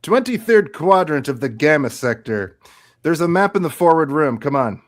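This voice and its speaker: A man's voice: a little high, sing-song, normal speed. A man playing a confident, upbeat spaceship commander in a radio theatre read, breezy and encouraging. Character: upbeat, confident male spaceship commander, eager tour guide.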